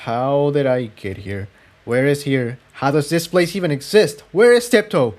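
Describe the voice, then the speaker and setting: A man's voice: fairly high pitch, expressive, normal speed, a little mellow, pitched above the performer's own voice, lightly acted. A man acts out a male pony adventurer in a fantasy storybook. He sounds like an everyday guy, wary and bewildered. His manner is suspicious and demanding. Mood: alarmed, demanding.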